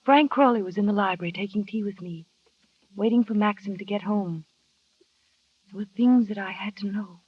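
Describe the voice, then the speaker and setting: An actress playing a shy, insecure young wife who narrates wistfully and asks hesitant, earnest questions, on an old radio drama. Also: female voice, medium pitch, normal speed, long pauses, husky, no echo, close to an everyday voice. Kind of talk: 1940s radio acting. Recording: dry, dull vintage radio recording.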